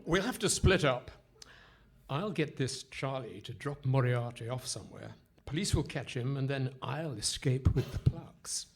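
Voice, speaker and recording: A man's voice: medium pitch, expressive, moderate pace. A man playing a pompous, greedy, scheming villain in a theatrical radio comedy, exclaiming and hurling florid insults. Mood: flustered, commanding. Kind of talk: Goon Show style radio comedy acting. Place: live performance on stage in a hall.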